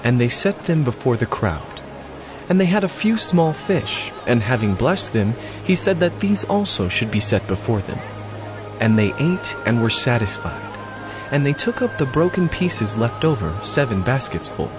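Animated male scripture narrator. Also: medium pitch, normal speed, over music.

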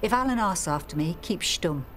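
A woman's voice: fairly low pitch, expressive, normal speed, fairly bright tone, soft background music. A woman acting in a radio soap as an anxious but teasing middle-aged village mother.

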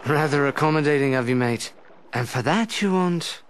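An actor playing a sly, swaggering, flippant pirate captain in a video game, with a theatrical, wry delivery. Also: male voice, normal speed, close to an everyday voice. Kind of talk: video game cutscene acting. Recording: noisy game capture with rumbling ambience.

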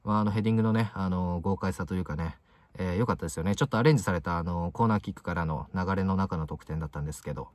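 A man in his twenties giving casual match commentary to camera in short, halting phrases full of fillers, recorded inside a parked car. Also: low voice, dry recording. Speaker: football fan video host.

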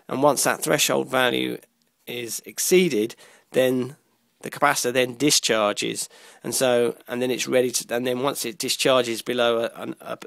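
A man presenting an informal electronics tutorial, explaining step by step in a halting way, pausing mid-sentence. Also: medium pitch, creaky, fairly bright tone, dry recording.

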